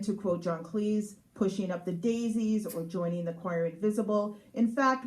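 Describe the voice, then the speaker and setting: A woman's voice: medium pitch, darkish tone, low-quality recording. A woman reads her humorous memoir aloud as its author, in a sarcastic, emphatic, mock-exasperated manner. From Canada. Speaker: author reading from own book. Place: live reading at a showroom.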